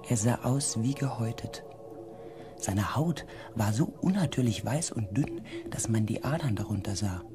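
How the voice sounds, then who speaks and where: A man narrating a science fiction radio drama as a reflective crew member, in a hushed, deliberate way. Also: on the low side, animated, over music, close to an everyday voice. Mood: awed, unsettled. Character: reflective crew member recounting a space expedition. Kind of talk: scripted first-person radio drama narration.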